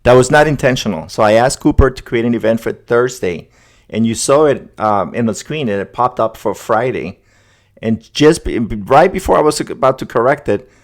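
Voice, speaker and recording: A man hosting a casual, conversational demo, talking viewers through each step as he goes. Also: medium pitch. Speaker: host demonstrating an AI agent setup. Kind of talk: casual screen-demo narration.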